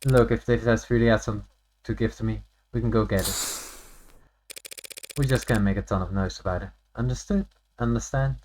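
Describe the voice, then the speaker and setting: A man playing a brisk, guarded investigator in a game, with a dry, matter-of-fact manner. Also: on the low side, fairly even intonation, darkish tone, slight background noise, lightly acted, poor audio.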